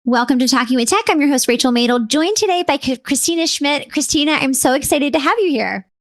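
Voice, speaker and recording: A woman's voice: high-pitched, animated, fast. A woman hosting a podcast, chatty and upbeat, with many "um"s and "you know"s. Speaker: podcast host. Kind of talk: casual interview hosting.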